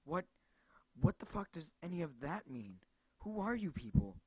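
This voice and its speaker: A man voicing a frightened, furious woman who is cornered by strangers, with a shrill, panicky delivery. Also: unhurried, no room sound, close to an everyday voice. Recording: dull lo-fi dry recording.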